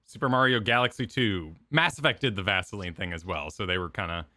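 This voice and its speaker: A man's voice: fairly low pitch, fast, bright, forceful tone. A man co-hosting a stream, chatting casually as he reacts to video game cutscenes. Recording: game music playing behind voice. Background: video game cutscene music.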